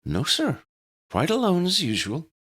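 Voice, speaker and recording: Man as a purring, high-voiced woman head teacher. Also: wildly swooping pitch, unhurried, a bit bright, husky, full character voice. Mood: obliging. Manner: purring, gracious.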